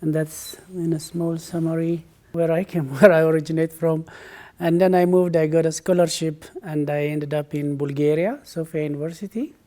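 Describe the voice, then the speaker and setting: A man's voice: on the high side, fairly even intonation, moderate pace, few pauses, mellow. A middle-aged man from Ethiopia, a guest in a conversational interview, who answers thoughtfully with frequent fillers and restarts.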